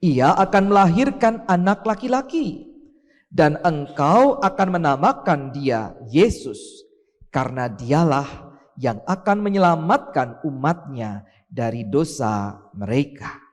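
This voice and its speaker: A man's voice: fairly high pitch, big pitch swings, slow. A man leading an online church service, praying solemnly and then reading scripture aloud, lifting his voice on key words. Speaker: pastor leading worship. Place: church sanctuary. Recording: church hall reverb.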